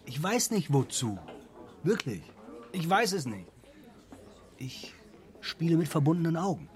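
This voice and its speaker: A secretive bar pianist in a radio drama, with a fairly high, very mobile voice. He is casual and evasive, tending to drop toward a conspiratorial hush. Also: very animated, unhurried. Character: secretive, cagey bar pianist, an old acquaintance. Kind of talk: radio play acting.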